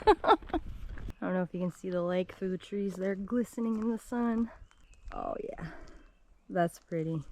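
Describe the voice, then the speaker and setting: A woman's voice: mid-range voice, lively intonation, unhurried, long pauses, darkish tone, low-quality recording. Hiker in her 30s, scattered chatty vlog delivery, walking outdoors, camera mic, audible outdoor noise. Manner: rambling, scattered, laughs often. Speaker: solo hiker vlogger. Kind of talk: casual walking vlog chat. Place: wooded trail outdoors. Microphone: action camera mic carried while walking.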